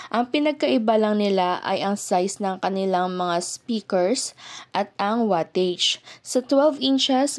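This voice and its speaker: A woman's voice: mid-range voice, a little mellow. A woman with a Filipino accent presenting a product update, speaking directly to viewers in an explanatory way. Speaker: product presenter for an audio brand. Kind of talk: product update video presentation, semi-scripted.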